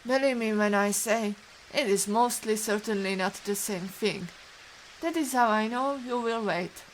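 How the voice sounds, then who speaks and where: A woman's voice: mid-range voice, unhurried, close to an everyday voice, low-quality recording. A woman playing a resolute, protective rescuer in an audio drama, with a Russian accent and formal, commanding phrasing. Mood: firm, impatient. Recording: faint hiss with rain behind. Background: rain.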